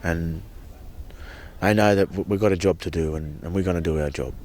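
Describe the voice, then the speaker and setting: A man's voice: low-pitched, brisk, darkish tone, a little hiss or hum. A man giving an earnest, impassioned personal account as a protester, often repeating and restarting his words. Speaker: protester at a sacred site camp.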